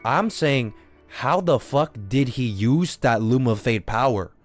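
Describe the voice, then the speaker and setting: A man's voice: mid-range voice, expressive, slow pace, soft background music. A man live streaming a game with unscripted commentary, arguing insistently, repeating himself and swearing casually. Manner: argumentative, repetitive, swears casually.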